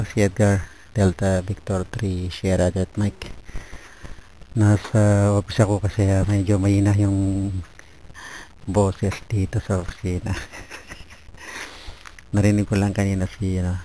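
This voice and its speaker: Filipino male radio hobbyist, low and mellow, halting casual chat, faint noise on the link. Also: level tone. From Philippines, Pampanga region. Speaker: amateur radio operator. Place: office. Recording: noisy amateur radio internet link.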